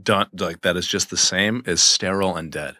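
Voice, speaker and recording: A male co-host adds short, agreeing comments to the conversation. His voice is very deep and has a bright, forceful edge. The sound is dry and close. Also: moderate pace, no echo. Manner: brief, agreeing interjections. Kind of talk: casual podcast interview.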